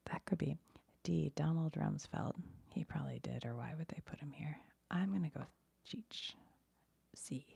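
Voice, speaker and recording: A woman whispering as an ASMR streamer, reading trivia aloud in a hushed, breathy voice and musing casually as she goes. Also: low voice, no room sound.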